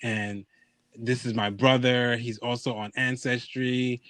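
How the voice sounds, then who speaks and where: A man tells a story as a podcast host in relaxed, conversational style. His voice sits in the middle of the male range. He plays out quoted exchanges and often checks in with his listeners, on a dry, close recording. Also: medium pitch, a little slow, dry recording. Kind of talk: casual chat, storytelling.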